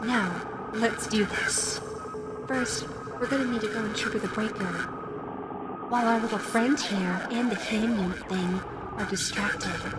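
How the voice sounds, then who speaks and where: A woman's voice: mid-range voice, steady tone, a little slow, very noisy, lightly acted. A woman voicing a playful, menacing animatronic fox girl in a character audio roleplay, with a teasing, sing-song, giggly manner. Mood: scheming.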